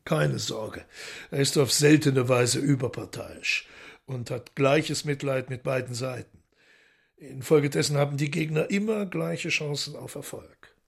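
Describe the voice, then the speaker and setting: A suave lord of devils in a radio play. The voice is husky and creaky, with calm, courteous authority and no hurry at all. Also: male voice, medium pitch, a little slow. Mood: dry composure. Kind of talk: German radio drama acting.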